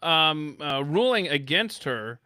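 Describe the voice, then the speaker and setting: A man's voice: fairly high pitch, sing-song, slow pace, bright, forceful tone, no echo. A man hosting a casual talk show, chatting and reading news items in a blunt, exclamatory way.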